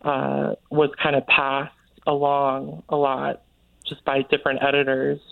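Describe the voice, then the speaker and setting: A man's voice: mid-range voice, completely flat delivery, a little slow, gravelly, no room sound. An American man from Connecticut, a guest author talking casually and informally in an interview, with lots of filler "like". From Connecticut, United States. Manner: informal, peppers speech with "like". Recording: narrow, tinny phone-like line.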